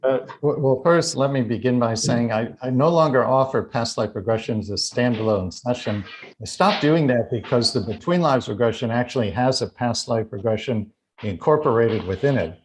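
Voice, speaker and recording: A man's voice: medium pitch, normal speed, low-quality recording. An American man speaking as a guest author, answering audience questions in an online talk. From United States.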